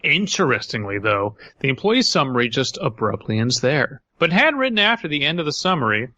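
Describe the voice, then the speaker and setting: A man with a bright, crisp-edged voice at a middle pitch co-hosts a comedy podcast. He moves between reading aloud and mock-indignant, profane asides. The sound is very dry, with no room around it. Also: big pitch swings, fairly quick, no echo, lo-fi sound. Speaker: podcast host. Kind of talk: casual banter and comedic story reading.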